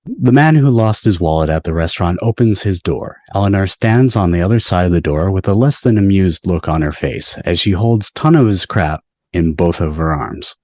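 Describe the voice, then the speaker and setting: A man's voice: sits low, lively intonation, normal speed, a little mellow, no echo. A man reading scripted stage directions at a table read, recorded over a video call. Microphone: video call microphone.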